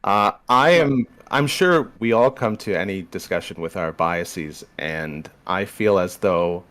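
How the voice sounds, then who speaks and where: A young Canadian man from Southern Ontario, a podcast guest laying out history in a measured, explanatory way. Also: low voice, a bit bright. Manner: explanatory, measured, walks through history step by step. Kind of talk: casual discussion.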